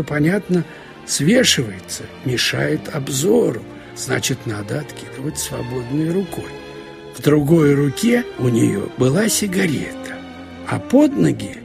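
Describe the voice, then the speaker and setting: A man narrating a literary short story in an expressive, storytelling way with wry colloquial asides. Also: on the high side, gravelly. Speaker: narrator reading short fiction. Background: music.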